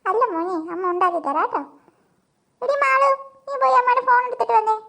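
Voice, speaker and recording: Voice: very high-pitched, animated, quick pace, gravelly, pushed and bright, full character voice. A performer voicing a flustered mother in a comic family cartoon, soothing and placating her children. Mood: coaxing, placating.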